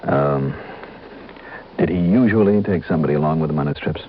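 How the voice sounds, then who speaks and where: An actor playing a wry, businesslike insurance investigator in an old-time radio detective drama, clipped and hard-boiled. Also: male voice, extremely low pitch, brisk. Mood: polite, probing. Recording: dull old radio recording, music behind.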